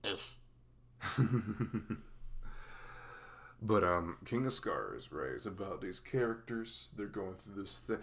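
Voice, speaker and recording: A man playing a dorky, playful male best friend in an ASMR roleplay, with a velvety voice and a halting, rambling, teasing manner. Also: fairly low pitch, animated, vocal fry, a bit bright, full character voice. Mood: enthusiastic, rambling.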